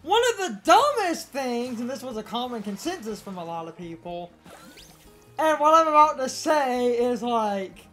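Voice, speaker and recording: A young woman co-hosting a let's play with casual, animated gaming commentary. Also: fairly high pitch, moderate pace. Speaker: let's play co-host and editor. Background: video game music.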